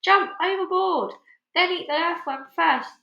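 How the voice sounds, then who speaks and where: Storybook centipede played by a woman: cynical and blunt male bug, raised pitch, roomy echo. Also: measured pace, creaky, muffled recording, exaggerated voice. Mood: panicked. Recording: echoey room.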